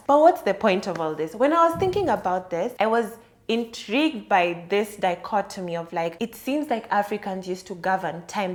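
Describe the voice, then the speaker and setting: A woman hosting a solo podcast, talking through an idea in a thoughtful, explanatory and conversational way. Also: medium pitch. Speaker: podcast host. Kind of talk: solo reflective podcast monologue.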